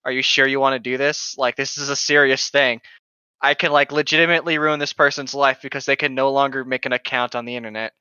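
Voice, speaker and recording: Bright-voiced, profane young-sounding guy riffing. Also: medium pitch, fairly even intonation, fast, clear, resonant tone, dry recording, lo-fi sound. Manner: profane, slangy, mocking. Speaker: podcast co-host. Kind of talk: casual joking reading commentary.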